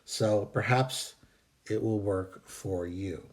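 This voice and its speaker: A man hosting a video, calmly reading out and explaining a meditation technique with some hesitant fillers, while fighting a cold that is costing him his voice. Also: lowish voice. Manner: calm, measured, with hesitant fillers. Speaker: host.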